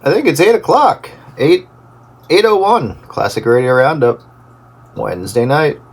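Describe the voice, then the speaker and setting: Male CB host, animated intonation, halting casual phrasing, heavy radio noise. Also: on the high side. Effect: two-way radio. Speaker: host of a radio hobbyist round-up. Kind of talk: casual chat over CB radio. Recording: very noisy CB radio transmission.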